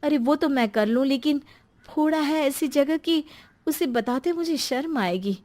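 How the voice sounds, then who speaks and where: A woman's voice: high-pitched, a little slow, a little mellow, voice pushed up. A woman voicing a meek, long-suffering middle-aged mother and housewife in a cartoon story, hesitant and apologetic. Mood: pained, hesitant.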